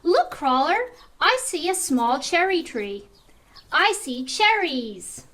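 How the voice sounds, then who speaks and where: A woman's voice: high voice, big pitch swings, slow, bright, forceful tone, exaggerated voice. A woman voicing a small, cheerful, curious mouse puppet who teaches toddlers in simple, repetitive exclamations. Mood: delighted.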